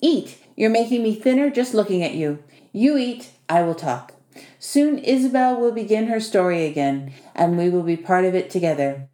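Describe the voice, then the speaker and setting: A short, bossy male house spirit from a storybook, performed by a woman. He is wry and teasing, with a foreign turn of phrase. The tone is mellow, and the room around it echoes. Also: medium pitch, animated, moderate pace, natural voice. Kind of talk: audiobook character dialogue.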